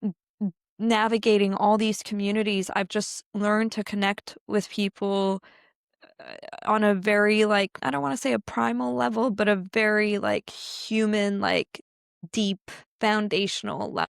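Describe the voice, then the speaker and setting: A woman's voice: mid-range voice, fairly even intonation, measured pace, vocal fry, clear, resonant tone, no echo, clean studio sound. A French-speaking Canadian woman, a guest on a podcast, talking reflectively and stopping now and then to find her words. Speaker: podcast guest, business owner. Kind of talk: casual interview talk.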